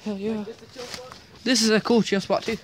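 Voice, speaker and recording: A man's voice: high-pitched, level tone, normal speed. A young man casually exploring abandoned buildings, talking loosely and swearing a lot, recorded on a phone he carries while walking outdoors. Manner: loose, profane, jumpy. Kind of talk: casual handheld exploration chat. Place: outdoors in woods and ruined sheds. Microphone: phone camera carried while walking. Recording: loud noisy outdoor phone audio. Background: rain and loud handling noise.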